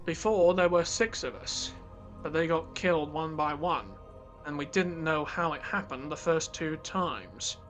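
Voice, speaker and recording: A guarded spaceship captain, played by a man in a sci-fi audio drama. The voice is fairly high for a man and forceful, with a blunt, skeptical way of talking. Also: a little slow, soft background music. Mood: grim.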